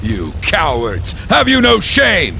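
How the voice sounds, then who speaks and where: A man's voice: on the high side, wildly swooping pitch, slow pace, vocal fry, pushed and bright, slight background noise, full character voice. A man playing a proud, honorable middle-aged baron in a video game, speaking formally and firmly. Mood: outraged. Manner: formal, firm, declamatory. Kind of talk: dramatic video game acting.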